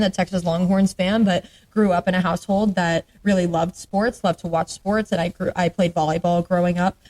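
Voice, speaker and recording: A woman's voice: on the low side, a little mellow, poor audio. A woman in her late twenties from the Atlanta area, a sports journalist chatting as a podcast guest in an upbeat way with lots of ums. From Atlanta area, Georgia. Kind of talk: casual interview chat.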